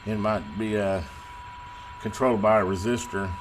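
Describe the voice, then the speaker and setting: Folksy man over loud hum. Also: lowish voice, steady tone. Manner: folksy, unhurried, thinking aloud. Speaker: radio repair technician and video host. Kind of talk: casual hands-on repair demonstration. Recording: loud electrical hum and background noise.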